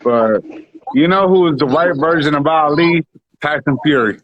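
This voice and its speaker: A man's voice: fairly high pitch, normal speed, muffled recording, slight background noise. A man from Spanish Harlem, telling stories in a casual chat and laughing easily. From Spanish Harlem, New York. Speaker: podcast co-host.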